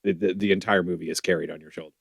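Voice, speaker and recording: A man's voice: sits low, fairly even intonation, racing, creaky, slightly rough, no room sound, clean studio sound. A man hosting a casual interview, rambling and repeating words as he talks. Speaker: interviewer, filmmaker.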